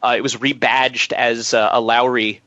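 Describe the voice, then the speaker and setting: A man's voice: mid-range voice, little pitch movement, moderate pace, pushed and bright, no echo, lo-fi sound. A man speaking as a musician guest in an interview, telling detailed, chatty anecdotes.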